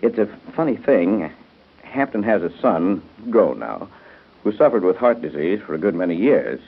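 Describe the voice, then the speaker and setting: A man's voice: sits low, very animated, normal speed, gravelly, breathy edge, full character voice. An American man playing an affable, self-assured married doctor in a 1930s radio soap, on a muffled old broadcast recording. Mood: earnest, explaining. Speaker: radio drama actor.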